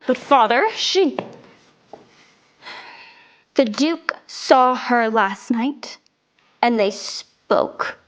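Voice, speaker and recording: A woman's voice: a little high, drawn-out words, pauses often. A woman playing a spiteful, haughty young stepsister in an audio drama, with a sneering, scornful delivery. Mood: flustered.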